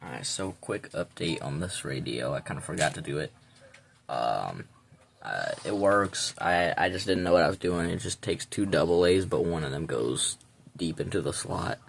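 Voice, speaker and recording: A man's voice: sits low, animated, moderate pace. A man giving casual, slangy unboxing commentary in an informal, rambling way. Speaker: amateur video reviewer. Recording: clearly noisy home recording with clicks.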